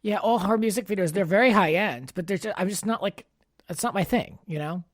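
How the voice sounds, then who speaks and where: A man's voice: high voice, a little fast, no room sound, clean studio sound. A man hosting a podcast, chatting casually and giving his opinions freely with lots of filler words. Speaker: podcast host. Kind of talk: casual pop culture chat.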